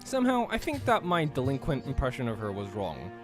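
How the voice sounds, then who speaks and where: A man chatting casually with friends while playing a game and reading its text aloud, animated and exclamatory. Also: medium pitch, a little fast, music in the background. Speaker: host of a group visual novel playthrough. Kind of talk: casual group gaming chat with reading aloud.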